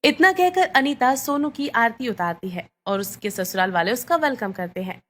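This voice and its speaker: A woman's voice: a little high, lively intonation, normal speed. A woman reading scripted bedtime story narration.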